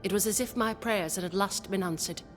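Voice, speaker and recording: Actress as solemn settler leader, echoing hall. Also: female voice, mid-range voice, fairly quick, faint music behind, natural voice. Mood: devout, resolute. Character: proud, devoted wife leading embattled settlers. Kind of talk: video game cutscene acting. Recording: long hall-like reverb.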